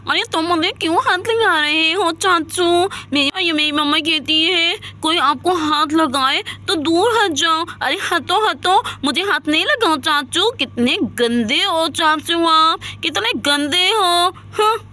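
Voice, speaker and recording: A woman's voice: extremely high pitch, animated, takes it slowly, hardly any pauses, sharp tone, exaggerated voice. A woman voicing a grown woman who thinks she is a little child, whiny, innocent and pleading in a dramatised story. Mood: petulant.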